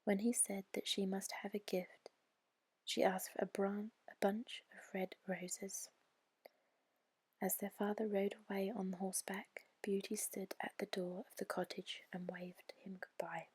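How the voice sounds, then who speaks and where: Woman whispering a bedtime story asmr style, super breathy n soothing, barely any pitch movement, close dry mic. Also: flat delivery, no room sound. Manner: gentle, soothing, measured storybook delivery. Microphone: close microphone.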